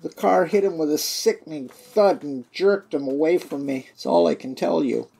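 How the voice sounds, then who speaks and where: A man acting as an aggrieved son who hides his guilt in a radio mystery drama, with a husky voice. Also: a little high, moderate pace, mellow. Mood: guarded, recounting. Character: aggrieved son, a scheming killer feigning grief.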